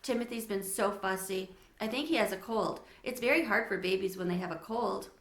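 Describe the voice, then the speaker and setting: A woman voicing a tired, caring mother in a children's storybook, gently explaining things to her child. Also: medium pitch, a little fast, echoey room, close to an everyday voice. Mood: apologetic. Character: tired, caring young mother of a fussy baby.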